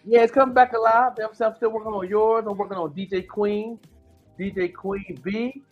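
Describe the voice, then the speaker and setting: A man's voice: sits high, steady tone, a little fast, muffled recording, faint music behind. A man in his late forties talking through a casual podcast chat, rambling with lots of restarts and fillers. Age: 40s. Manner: rambling, many restarts and "you know what I'm saying". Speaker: podcast host.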